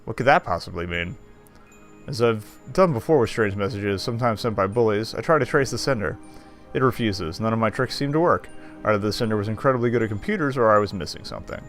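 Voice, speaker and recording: Male game streamer, low register, narrates on-screen story with dry side remarks, faint music behind. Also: lively intonation, fast. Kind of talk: reading game text aloud with casual commentary.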